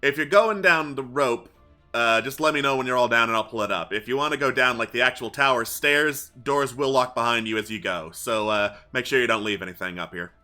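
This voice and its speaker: Man as tired tower guard, male, sharp bright voice, casual, grumbling, easygoing, muddled, tabletop game, faint music. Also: mid-range voice, brisk, close to an everyday voice. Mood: casual warning.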